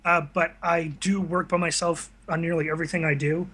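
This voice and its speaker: A man's voice: on the high side, level tone, fairly quick, bright, forceful tone. A young man chatting casually as a guest in an interview. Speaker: guest, video creator. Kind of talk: casual chat.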